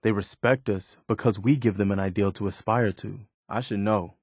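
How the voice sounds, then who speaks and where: An idealistic, principled militia man for a video game. His voice sits on the low side, with an earnest, plain-spoken delivery that stays conversational. The sound is very dry and close, with no room to it. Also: steady tone, normal speed, a little mellow, husky, no echo. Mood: warm, sincere. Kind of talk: video game companion dialogue, naturalistic acting.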